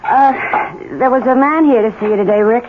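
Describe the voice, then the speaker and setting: An actress playing a placating wife and homemaker in a 1970s radio drama. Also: female voice, on the high side, normal speed, lightly acted. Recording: tinny, noisy old radio broadcast.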